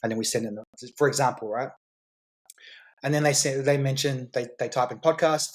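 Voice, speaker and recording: A man's voice: medium pitch, fairly even intonation, brisk. A man as a guest on a podcast, explaining his business in a confident, salesy way with frequent repeats and restarts. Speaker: guest entrepreneur.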